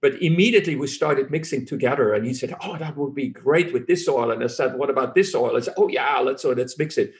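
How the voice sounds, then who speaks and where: A man's voice: medium pitch, fairly quick, hardly any pauses. A middle-aged man whose first language is German, speaking English as a physician guest in an interview, self-assured and earnest, often restarting phrases.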